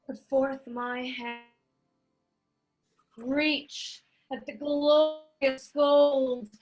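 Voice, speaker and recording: Woman as high-voiced, ambitious conjuring noblewoman. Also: level tone, lo-fi sound, faint music behind. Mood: hungry, resolute. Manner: deliberate, incantatory, swinging between hushed and declaimed. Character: ambitious, defiant noblewoman conjuring spirits, a duke's wife. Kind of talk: Shakespearean verse in an online staged reading.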